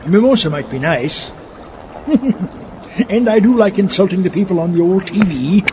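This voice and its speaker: A man's voice: high voice, animated, moderate pace, noisy background. Guy doing grumpy mad scientist, fussy and grandiose, super swoopy, muffled w music behind. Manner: theatrical, fussy, indignant. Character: exasperated grandiose mad scientist, would-be world dictator, male. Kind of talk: comedic audio drama acting.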